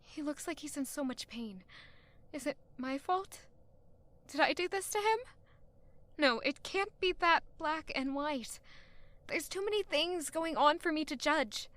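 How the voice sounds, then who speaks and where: A woman's voice: high voice, lively intonation, a little slow, fairly bright tone, no room sound. An actress playing a young woman who anxiously reflects on the man she loves, in inner narration. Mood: troubled.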